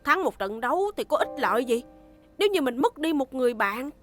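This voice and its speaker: A woman playing a hot-headed, blunt boy racer in a dubbed animated series. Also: sits high, a little fast, faint music behind. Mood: remorseful. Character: hot-headed, stubborn boy racer, loyal friend at heart.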